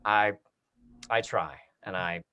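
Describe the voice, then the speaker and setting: A man hosting a casual online talk-back, speaking in a friendly, encouraging way with halting starts and frequent fillers. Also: fairly low pitch, bright, forceful tone, clear, resonant tone. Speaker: host and online programming director of a theater company. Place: online video call.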